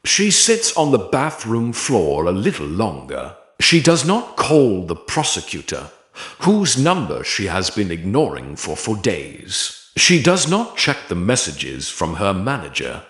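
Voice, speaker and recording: Slow, low-voiced man narrating with wide pitch swings. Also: fairly bright tone, echoey room. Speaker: audiobook narrator. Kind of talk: scripted dramatic romance narration.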